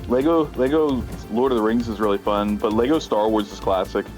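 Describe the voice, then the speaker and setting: A man's voice: fairly low pitch, brisk, darkish tone, soft background music. A man chatting casually as a livestreamer, rambling and self-deprecating, with an everyday conversational delivery.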